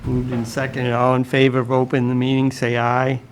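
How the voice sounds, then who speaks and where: A man chairing a town commission meeting, speaking slowly with many pauses and fillers. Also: mid-range voice, monotone, background noise. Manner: slow, hesitant with many fillers. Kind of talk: public meeting.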